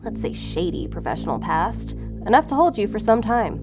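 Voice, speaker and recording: A woman's voice: mid-range voice, wildly swooping pitch, moderate pace. An actress playing a shrewd, controlled female investigator in an audio drama, firm and needling. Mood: hard, triumphant. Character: cool, shrewd female investigator, bluffing and relentless. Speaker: law enforcement agent. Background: music under the voice.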